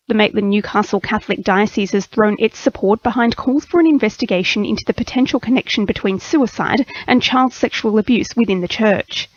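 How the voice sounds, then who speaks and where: An Australian woman reading a scripted radio news bulletin as the newsreader. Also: on the high side. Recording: slightly dull radio broadcast audio.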